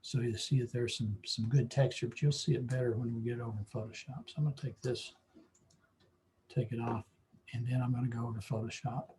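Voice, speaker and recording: A hoarse man teaching a photo-editing lesson, explaining at an easy pace with lots of fillers. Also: mid-range voice, fast talker, noisy background. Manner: relaxed, explanatory, with frequent fillers. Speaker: photography club instructor. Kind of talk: screen-share software tutorial.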